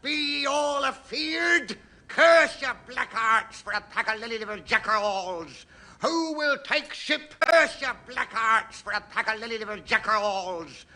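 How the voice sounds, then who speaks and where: An actor playing a bullying old sea captain in a 1960s radio comedy, with a theatrical growl and drawn-out bellowing. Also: male voice, very high-pitched, expressive, pushed and bright, exaggerated voice. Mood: rousing. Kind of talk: 1960s BBC radio comedy sketch acting.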